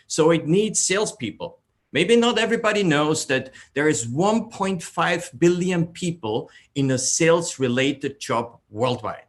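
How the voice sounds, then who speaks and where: A man from Austria with an Austrian accent, a native German speaker, giving a welcome speech at an online event. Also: fairly high pitch. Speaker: entrepreneur, event co-host. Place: online group call.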